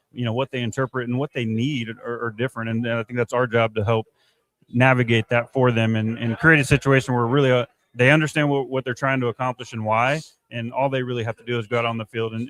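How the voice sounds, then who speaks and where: Male interviewee, sports director type. Rapid, level delivery with frequent restarts, dry sound. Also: mid-range voice, flat delivery, a bit bright, dry recording. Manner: halting, repetitive, filler-heavy phrasing. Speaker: baseball player development director, former player. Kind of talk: unscripted interview answers.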